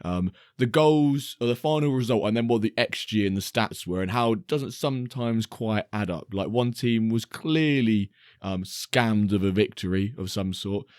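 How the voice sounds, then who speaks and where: A man with a lowish, slightly croaky voice recounts a football match in animated, casual podcast chat. The recording is close and dry. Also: normal speed, hardly any pauses, no room sound. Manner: animated storytelling. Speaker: podcast co-host.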